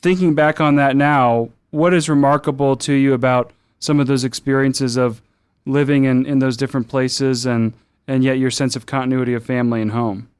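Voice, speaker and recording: A man hosting a podcast, asking long, measured questions of his guest. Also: medium pitch, steady tone, clean tone, dry recording. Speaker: podcast host. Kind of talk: interview questions.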